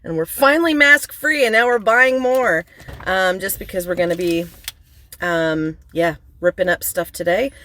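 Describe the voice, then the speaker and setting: A woman's voice: lowish voice, normal speed, pushed and bright, slight background noise. An Australian woman chatting casually to a vlog audience in a lightly joking way. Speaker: vlogger. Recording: music playing behind the voice. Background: radio music.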